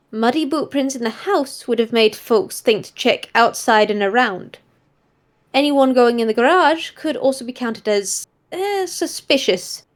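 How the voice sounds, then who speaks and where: A woman acts out a golden monkey detective in a story role-play, female and high-voiced. She is an eager, playful mentor with a folksy turn of phrase and mild made-up oaths. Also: natural voice.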